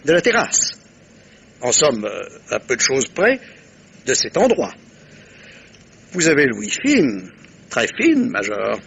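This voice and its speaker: An actor playing a shrewd, self-satisfied, courteous middle-aged detective in a vintage French radio mystery, with theatrical, pointed pauses. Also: male voice, mid-range voice, huge pitch swings, creaky, a bit bright, slight background noise, full character voice. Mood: probing, courteous.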